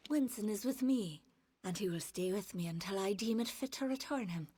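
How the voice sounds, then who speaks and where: A woman's voice: mid-range voice, animated, moderate pace, warm tone, breathy edge, no room sound. A woman acting a seductive, scheming fae villain in a video game, with a haughty, silky, taunting manner. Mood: haughty menace.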